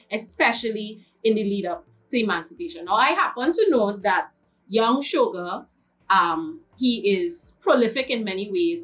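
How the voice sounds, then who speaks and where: Woman host, mid pitch, slightly distant from the mic, affirming interviewer. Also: a bit bright. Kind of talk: interview chat. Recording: voice a bit off-mic.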